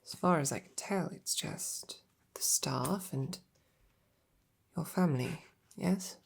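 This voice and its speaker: A woman acting as a devoted lady knight in an intimate fantasy romance audio drama. Her low voice is soft, breathy and full of creak. She speaks slowly, courteous and tender, halting as if easily flustered. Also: whispered, exaggerated voice. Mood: curious, hesitant. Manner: gentle, hesitant, halting, formal wording.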